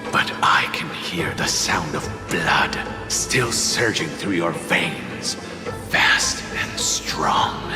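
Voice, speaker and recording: An actor playing a grim, imposing man in a fantasy video game, brooding and breathy. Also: rumbling low, expressive, extremely slow, full character voice. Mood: ominous. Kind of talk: dramatic video game voice acting. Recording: echoing hall reverb, music behind.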